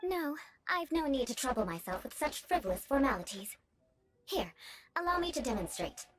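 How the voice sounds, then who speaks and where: A woman's voice: sits high, big pitch swings, moderate pace. An actress voicing a haughty, prim young noblewoman in a video game, speaking formally and imperiously with ornate phrasing. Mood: prim lecturing. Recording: game music behind the voice.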